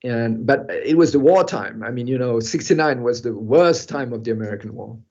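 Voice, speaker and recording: An older man who grew up in Europe tells his own story at an even middle pitch. He pauses, restarts, and laughs softly as he reflects. The sound is muffled and dull, as if heard over a video call. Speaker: guest speaker. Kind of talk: personal storytelling in an online talk.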